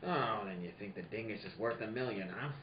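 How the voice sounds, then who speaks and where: A man's voice: on the low side, fairly quick, distant mic, lightly acted. A man playing a tough, wisecracking private detective in an old-time noir radio play, clipped and wry. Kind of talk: 1940s noir radio narration and acting. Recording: echoey, dull, noisy room, off mic.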